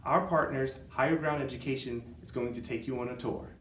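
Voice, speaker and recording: A man's voice: medium pitch, fairly quick, a bit bright. A man speaking as a nonprofit chief executive, giving a welcome announcement. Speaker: nonprofit president and CEO. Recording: distant, roomy, faint background noise.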